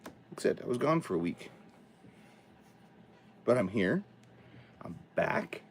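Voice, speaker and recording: Casting: hoarse man, raspy from a cold, lively up-and-down delivery, casual host rambling from a home studio. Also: lowish voice, slow pace, a little hiss or hum. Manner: rambling, chatty, talks to himself while setting up. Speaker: livestream host. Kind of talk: casual livestream chat.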